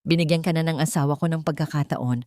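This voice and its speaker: A woman voicing an angry, wealthy middle-aged man who shouts cold commands. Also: sits low, steady tone, fast talker, dry recording, studio quality, lightly acted. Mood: cold dismissive. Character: harsh rich middle-aged father, furious.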